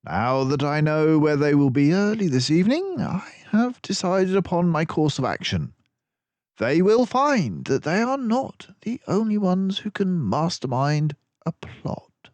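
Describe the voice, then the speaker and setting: Storybook detective mouse, male reader acting: raised pitch, swooping delivery, confident schemer with courtly manners. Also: very animated, measured pace, a little mellow, no echo. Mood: decisive. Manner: theatrical, self-assured, mannered turns of phrase.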